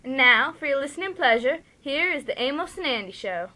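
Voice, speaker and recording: A young woman with a high voice presents a student-style period radio show. She speaks as a host in the manner of a mock 1920s broadcast. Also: expressive, fairly quick, sharp tone.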